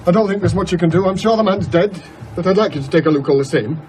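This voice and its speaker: An actor playing a deferential, uneasy railway guard, plain-spoken, in a radio horror drama. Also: male voice, high voice, fast, a little mellow, slight background noise, close to an everyday voice. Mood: grave, uneasy.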